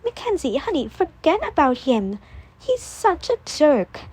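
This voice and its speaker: A woman voicing a brash, dismissive young woman who talks fast and flippantly. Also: sits high, big pitch swings, pitched above the performer's own voice. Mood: soothing. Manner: sharp, flippant, quick.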